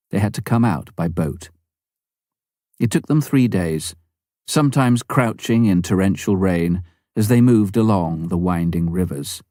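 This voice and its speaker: A man with a very low voice reads a memoir as an audiobook narrator. His pitch moves in lively sweeps through the measured, deliberate phrases. The tone is mellow and warm, with the expressive shaping of a storyteller. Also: no room sound, clean studio sound. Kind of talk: scripted narration of a missionary memoir.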